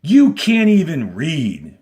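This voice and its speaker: A man's voice: on the high side, wildly swooping pitch, slow pace, warm tone, voice pushed up, heavily acted. A man reading the part of an ill-tempered, devious female camp warden from a storybook, emphatic and accusatory. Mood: scornful.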